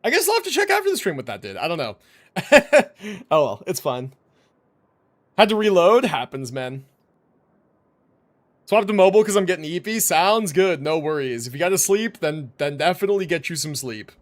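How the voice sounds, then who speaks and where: A man hosts a casual game livestream on a streaming microphone. His voice sits fairly high and moves around a lot in pitch. He rambles in slang and swears offhandedly. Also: sing-song, normal speed, pauses often. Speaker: livestream host. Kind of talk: casual gaming stream chat.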